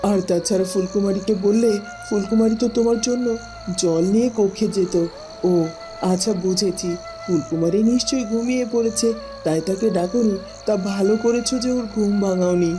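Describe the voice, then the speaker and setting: A woman voicing a caring royal mother in a fairy-tale cartoon, speaking gently and solicitously. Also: mid-range voice, brisk, music in the background, lightly acted. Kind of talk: Bengali cartoon voice acting.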